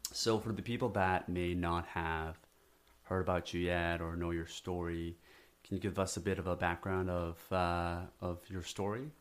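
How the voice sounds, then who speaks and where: A man with a very deep voice hosts a podcast in a friendly, laid-back manner. He speaks a little haltingly as he welcomes his guest and asks for his story. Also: steady tone. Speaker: podcast host. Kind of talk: casual interview.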